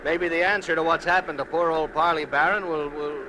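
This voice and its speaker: Actor as an easygoing American fishing pal, higher voice. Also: male voice, steady tone, brisk, close to an everyday voice. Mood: relaxed. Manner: hesitant, rambling. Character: easygoing local fishing companion, chatty and kindly. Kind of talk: mid-century American radio drama acting. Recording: noisy vintage radio drama recording.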